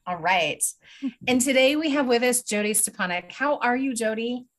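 A woman's voice: a little high, expressive, moderate pace. A woman co-hosting a podcast, friendly and upbeat, chatting and introducing a guest. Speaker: podcast co-host. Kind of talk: casual chat, interview.

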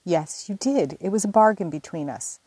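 A woman's voice: on the low side, moderate pace, dark tone, husky, dry recording. A woman reading as a fussy, coaxing younger sister. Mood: scolding. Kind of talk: audiobook character reading.

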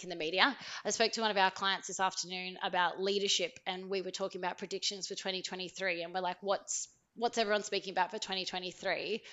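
A woman's voice: medium pitch, fairly bright tone, muffled recording. A woman, a podcast guest, chatting casually with lots of ums and laughter. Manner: chatty, laughs often, many ums and likes.

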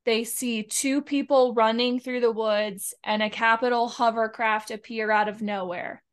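Brief: female podcast co-host, higher-pitched voice, informal and conversational, retelling a story with plenty of likes. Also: steady tone, measured pace, clear, resonant tone. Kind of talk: casual book recap chat.

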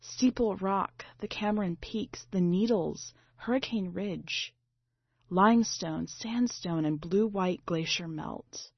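A woman reading a literary short story aloud in a measured, dramatic way. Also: mid-range voice, breathy edge. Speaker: story reader. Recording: slightly dull, boxy roomy recording.

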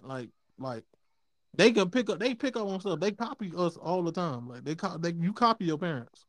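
A man in his twenties co-hosting a podcast, explaining things in a relaxed way with lots of repeated fillers. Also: high voice, normal speed, no echo. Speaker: podcast co-host. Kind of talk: casual podcast chat.

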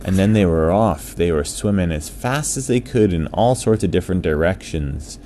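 A man's voice: low-pitched, lively intonation, moderate pace, mellow. A man telling an improvised children's bedtime story in simple, repetitive phrases, with faint noise behind him.

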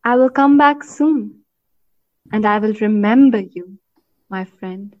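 An actress playing a woman hunter who stalks her target and marvels at winged creatures, thinking aloud in deliberate short phrases in an audio drama. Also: medium pitch, lightly acted. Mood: enchanted. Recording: dull lo-fi recording.

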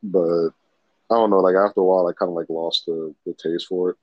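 A man with a very low, husky voice talks in a loose, rambling way and swears casually. The sound is dull and lo-fi. The room is completely dry. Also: steady tone, fairly quick, no echo. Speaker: podcast co-host. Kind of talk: casual chat.

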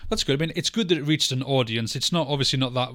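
A man's voice: mid-range voice, fast, fairly bright tone, no room sound, clean studio sound. A man co-hosting a film podcast, speaking in a casual, conversational discussion style.

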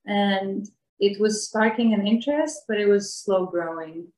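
A woman's voice: mid-range voice, unhurried, a little mellow. Echoey, thoughtful woman from Bosnia. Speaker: interview guest. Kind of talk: reflective casual interview talk. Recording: echoey room sound.

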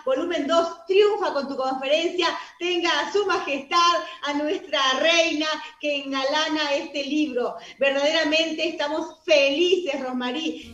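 A woman's voice: high-pitched, fairly bright tone. A woman co-hosting a radio show, giving a formal, effusive welcome in a sing-song delivery. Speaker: radio co-host. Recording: roomy and distant, music behind.

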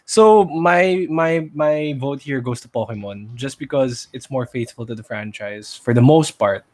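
A man's voice: mid-range voice, measured pace, darkish tone. A man with a Filipino accent talking casually about movies as a podcast co-host.

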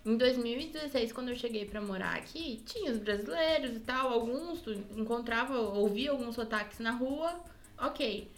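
A young woman, co-hosting a podcast and telling stories in an animated way. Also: on the high side, moderate pace. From Brazil. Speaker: podcast co-host. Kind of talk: casual chat.

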